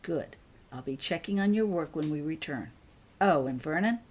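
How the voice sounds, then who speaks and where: A woman's voice: low-pitched, expressive, normal speed, darkish tone, breathy edge. A woman voicing a firm, caring mother in a storybook reading, decisive and motherly. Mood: stern.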